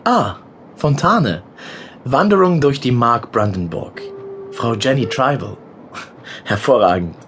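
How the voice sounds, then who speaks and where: An actor playing a polite, talkative war correspondent, hesitating, apologizing and slipping into English words in an audio drama. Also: male voice, medium pitch, very animated, slow, long pauses, a bit bright, a little hiss or hum. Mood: chatty, eager.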